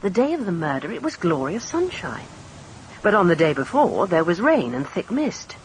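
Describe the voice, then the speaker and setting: Guarded English suspect, expressive pitch. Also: female voice, normal speed, a little hiss or hum, poor audio. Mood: earnest. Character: poised, guarded adult woman suspect, cool and defensive. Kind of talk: radio drama acting.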